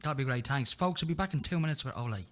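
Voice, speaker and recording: A man hosting a radio interview show, talking briskly with fillers and restarts. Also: mid-range voice.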